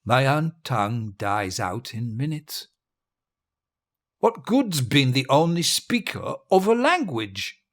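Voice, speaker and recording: An actor playing a murderous, grandiose and bitter dictator facing death, husky and theatrical, savouring his words in an audio drama monologue. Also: mid-range voice, sing-song, slow pace. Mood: darkly amused. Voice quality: husky, breathy rasp. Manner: pompous, theatrical, savouring words, drifting into murmurs.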